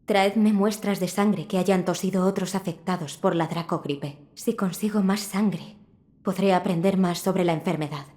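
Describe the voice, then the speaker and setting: A woman voicing a polite, scholarly physician in a video game, speaking formally in a measured, composed way. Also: fairly low pitch, a little mellow, no room sound, natural voice. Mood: composed, studious.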